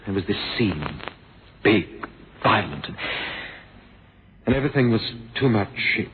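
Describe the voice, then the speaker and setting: An actor playing an earnest, wounded young man in a suspense radio drama, speaking haltingly and repeating words. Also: lowish voice, expressive. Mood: subdued, pained. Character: troubled, wounded young man with violent past. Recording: noisy phone-like vintage radio recording.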